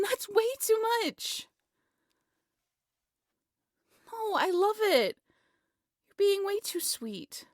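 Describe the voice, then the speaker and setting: A woman acting a cheerful, affectionate young woman in an intimate roleplay audio drama, with a playful, teasing, expressive delivery. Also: very high-pitched, dry recording, clean studio sound, full character voice. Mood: excited, delighted.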